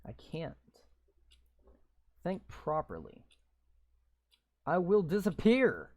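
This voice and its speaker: A man reading a game's story text aloud in a slow, deliberate way, breaking off for blunt, sweary, sarcastic asides. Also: medium pitch, darkish tone. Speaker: gamer reading a visual novel aloud. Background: game music.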